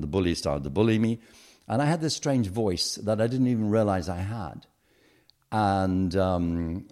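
A man's voice: sits low, dark tone. A middle-aged man as a podcast guest, talking thoughtfully about his upbringing with frequent hesitations. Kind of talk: reflective interview conversation.

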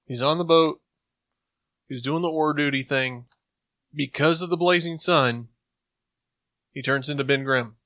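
A man's voice: on the high side, measured pace, long pauses, sharp tone, no echo. A man co-hosting a podcast in casual, joking banter, giving his opinions in a playful way. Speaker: podcast co-host. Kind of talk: casual banter and review chat.

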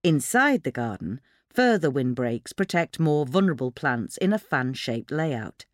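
A woman reading a scripted magazine feature aloud in a measured, expressive storytelling way. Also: deep voice, no echo, clean studio sound. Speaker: narrator reading a magazine article. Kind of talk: scripted narration of a gardening feature.

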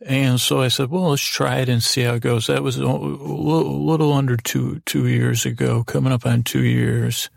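A man hosting a podcast in a lulling, meandering monologue, halting and self-deprecating, with many false starts. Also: mid-range voice, fairly even intonation, creaky, dry recording, studio quality. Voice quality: lulling, soothing tone. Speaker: podcast host. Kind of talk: rambling monologue meant to lull listeners to sleep.

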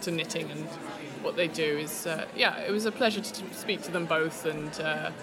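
A woman's voice: low-pitched, moderate pace. A woman hosting a podcast, chatty and enthusiastic, interviewing a guest. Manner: chatty, enthusiastic, laughs often. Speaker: podcast host. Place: yarn festival venue. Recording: crowd chatter behind.

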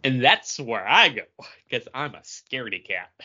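A man with a bright, forward voice talks casually as a podcast host. He rambles along in a jokey way. The sound is a little muffled and lo-fi. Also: mid-range voice, sing-song, normal speed.

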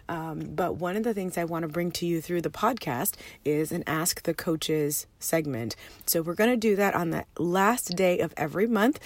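Hoarse woman podcasting from her minivan. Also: on the low side, dry recording. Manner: upbeat and chatty, with fillers and restarts. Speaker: podcast host and money coach. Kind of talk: solo podcast monologue. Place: parked minivan.

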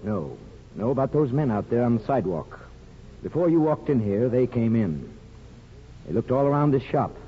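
An actor playing an older, principled small-town barber and father, plain-spoken and measured, in a 1950s radio drama. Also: mid-range voice, big pitch swings, natural voice. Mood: wary, firm. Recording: muffled 1950s radio broadcast.

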